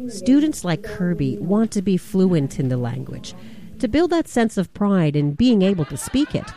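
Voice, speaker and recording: A woman hosting a radio show, narrating a scripted feature in a measured, deliberate way. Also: fairly low pitch, very animated, dark tone.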